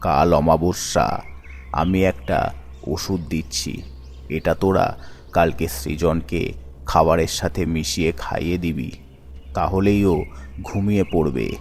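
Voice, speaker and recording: A man voicing an authoritative guru in an animated horror story, giving solemn instructions over music. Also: low-pitched, slight background noise. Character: commanding male guru holy man, ritual-performing tantric. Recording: music under the voice.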